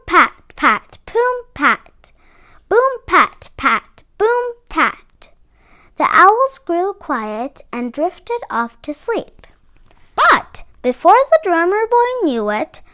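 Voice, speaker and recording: Lady reading a kids picture book aloud, high voice, really animated up and down. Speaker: read-aloud storyteller for children. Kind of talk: expressive picture-book narration.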